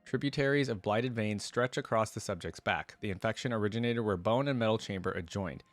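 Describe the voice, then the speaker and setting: A man casually commenting as a reaction host and excitable fan, sometimes reading game lore aloud. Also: fairly low pitch, brisk, dry recording.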